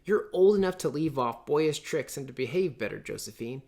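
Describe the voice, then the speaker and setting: A man's voice: fairly high pitch, animated, normal speed, gravelly, a little mellow. A prim elder sister in her teens read by a man, from a storybook. The character is bossy and motherly, inclined to lecture and complain. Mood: lecturing.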